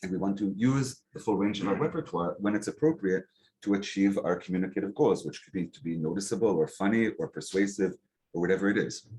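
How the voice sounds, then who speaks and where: A man giving an academic lecture to a seminar, relaxed and conversational, with frequent um and uh and rhetorical "right?" checks. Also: deep voice, fast, rough recording. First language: English. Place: lecture hall. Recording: faint background noise, clicks.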